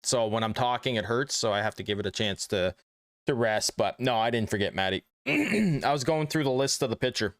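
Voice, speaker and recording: A man's voice: mid-range voice, animated, fairly quick, fairly bright tone, dry recording. A man streaming a video game and chatting casually with viewers while losing his voice. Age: adult. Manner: chatty, reads chat aloud.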